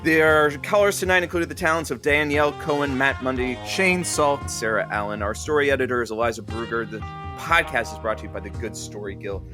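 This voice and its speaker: A man's voice: mid-range voice, fairly quick, over music, close to an everyday voice. An actor playing a blunt, sarcastic coworker of a radio host, a man, in a naturalistic audio drama. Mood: upbeat, reading credits.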